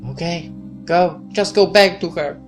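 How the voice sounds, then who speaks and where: Man playing hurt young woman, visual novel character, resentful, bitter, raised pitch, music behind. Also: a little slow. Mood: hurt, furious. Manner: wounded, resentful.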